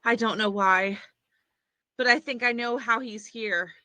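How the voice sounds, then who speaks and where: Woman acting urgent, high-voiced young horror heroine. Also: a little slow, sharp tone, dry recording. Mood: uneasy. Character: anxious, decisive young woman trapped with a killer. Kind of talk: screenplay table read acting.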